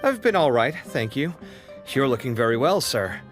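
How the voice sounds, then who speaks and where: Guy playing polite young scholar in a game, friendly n animated, music in the back. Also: medium pitch, normal speed, close to an everyday voice. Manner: courteous, expressive. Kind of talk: video game voice acting.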